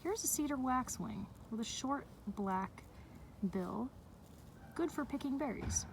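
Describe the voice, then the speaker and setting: Slow-paced woman reading aloud to children. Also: sits high, animated. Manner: explanatory, measured, pausing between phrases. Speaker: teacher reading a picture book aloud. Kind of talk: read-aloud lesson for young children. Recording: distant mic with faint background noise.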